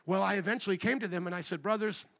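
Man, pastor, sermon, high voice, bright tone, middle-aged, from Indiana. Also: rapid-fire, gravelly. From Indiana, USA. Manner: animated preaching, rising to near shouts on rhetorical questions.

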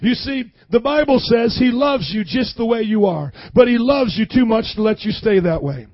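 A hoarse young man preaching a sermon, energetically calling out to the congregation for responses. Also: extremely high pitch, lively intonation, normal speed. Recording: dull lo-fi sound.

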